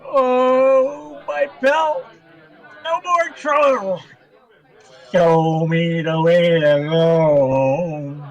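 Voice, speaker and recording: A man's voice: high-pitched, very slow, vocal fry, lo-fi sound, some chatter behind, heavily acted. A man playing a maudlin, over-familiar barfly in a radio comedy, slurring and repeating himself in a drunken ramble. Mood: gleeful, wheedling. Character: maudlin, happily drunk male barfly pestering strangers.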